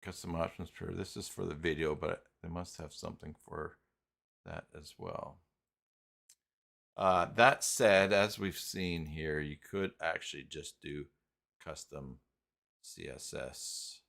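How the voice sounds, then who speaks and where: A man hosting a casual live software tutorial, talking haltingly and muttering to himself as he works through things on screen. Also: a bass voice, vocal fry. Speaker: live stream host, software tutorial presenter. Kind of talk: casual unscripted screen-share walkthrough.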